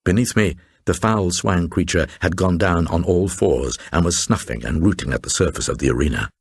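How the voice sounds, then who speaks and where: A man giving a measured, theatrical dramatic reading of a gothic horror tale as its narrator. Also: low-pitched, gravelly, breathy edge, no room sound, clean studio sound. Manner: measured, literary, theatrical storytelling. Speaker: narrator, reader of a novel.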